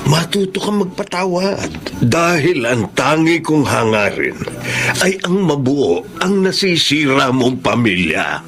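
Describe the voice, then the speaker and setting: A man playing a remorseful older father, earnest and deliberate, in a Tagalog radio drama. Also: on the high side, very animated. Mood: earnest pleading. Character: remorseful older father confessing, pleading with daughter. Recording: loud music bed under the voice. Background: loud dramatic music.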